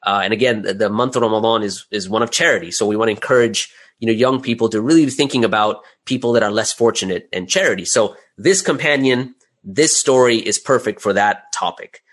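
Low-pitched man explaining in an interview. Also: fairly quick, fairly bright tone. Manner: explains step by step, often posing rhetorical questions. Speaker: interview guest, audio drama creator. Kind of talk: conversational interview, explaining a process.

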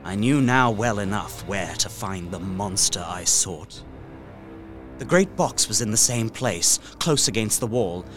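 A man playing an earnest, anguished young English gentleman held prisoner, narrating his diary dramatically in an audio drama. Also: fairly low pitch. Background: music under the voice.

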